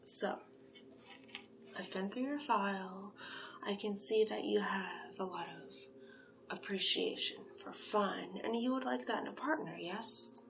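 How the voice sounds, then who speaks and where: A woman playing a flattering, gossipy sphinx matchmaker in a soft-spoken, whispery ASMR roleplay. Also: medium pitch, measured pace, creaky, a little hiss or hum, rough recording. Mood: flattering, welcoming. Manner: coaxing, flattering, chatty, whispery in places.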